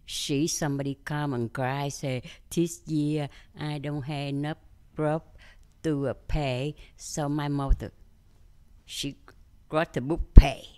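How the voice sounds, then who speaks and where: An older woman from Vietnam with a Vietnamese accent, telling her story in an interview in simple, halting English with many fillers. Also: sits low, fairly even intonation, a little mellow, clear, resonant tone. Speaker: interview guest. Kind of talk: conversational interview.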